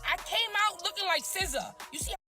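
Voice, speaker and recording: A woman in casual chat, telling a story in an animated, slangy, expressive way. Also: extremely high pitch, a little fast, music in the background.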